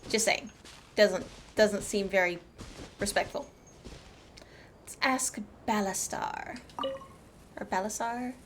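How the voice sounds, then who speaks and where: A woman's voice: mid-range voice, takes it slowly, long pauses, slight background noise. A woman hosting a gameplay video with casual, chatty commentary and wry asides. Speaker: gaming channel host. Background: game music.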